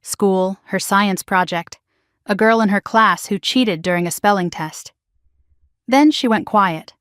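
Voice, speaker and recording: Calm woman narrating a dry-voiced story. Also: medium pitch, unhurried, smooth, dry recording. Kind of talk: scripted first-person story narration.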